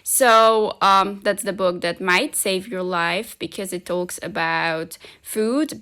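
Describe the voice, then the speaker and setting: A woman's voice: fairly low pitch, a bit bright. A woman in her twenties whose first language is Slovak, chatting upbeat to her phone camera in a casual day-in-the-life vlog from her living room. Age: 20s. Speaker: vlogger and software engineer. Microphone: phone camera at arm's length.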